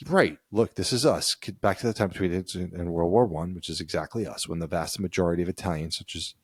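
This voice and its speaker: Low-voiced man co-hosting a chat. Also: brisk, breathy edge, dry recording. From United States. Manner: reads passages aloud, then riffs conversationally. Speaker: podcast co-host. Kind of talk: casual chat.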